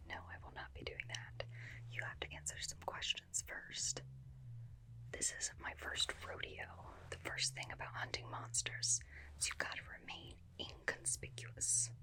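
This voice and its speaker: A bossy, obsessed but caring female vampire slayer, played by a woman, murmurs to a captive in an ASMR roleplay. The delivery is a slow, crisp-edged whisper with a bright hiss, coaxing and intimate, very close to the microphone. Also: poor audio. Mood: wry, controlling. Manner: hushed, coaxing, speaking intimately to a captive listener. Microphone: close binaural microphone.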